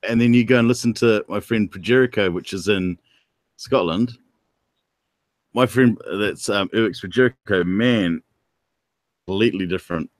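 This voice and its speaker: A man's voice: on the low side, normal speed, long pauses, no echo. A man chatting casually on an online panel, informal and repeating himself for emphasis. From New Zealand. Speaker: participant in an online panel chat. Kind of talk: casual chat.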